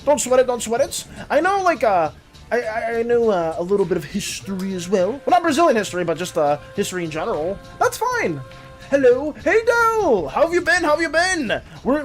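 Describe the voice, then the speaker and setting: Casual livestream host, animated, rambling, very lively pitch, mid-range voice, faint music behind. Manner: animated, rambling, reacts to chat. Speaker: art and game streamer. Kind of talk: casual livestream chat with viewers.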